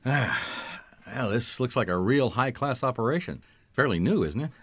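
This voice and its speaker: An actor playing a polite, laconic private investigator in a radio detective drama, asking hesitant questions. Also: male voice, mid-range voice, lively intonation, normal speed, fairly bright tone, husky, no echo, dull audio. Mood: dry, probing. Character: hard-boiled but polite male private investigator.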